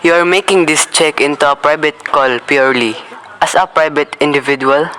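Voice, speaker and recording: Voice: medium pitch, moderate pace, slight background noise, lightly acted, rough recording. A student playing a stiff, officious phone operator. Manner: flat, officious, procedural. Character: formal telephone operator and police desk officer, adult. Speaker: student actor in a school radio play. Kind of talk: school radio play acting.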